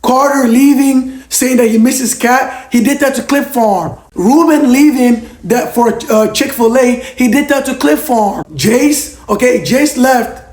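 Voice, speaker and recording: A hoarse young man who is losing his voice answers questions in a casual vlog, emphatic and tagging "okay?" onto his points. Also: very high voice, measured pace, hardly any pauses, a little mellow. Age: young adult. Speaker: former reality show contestant. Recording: roomy echo.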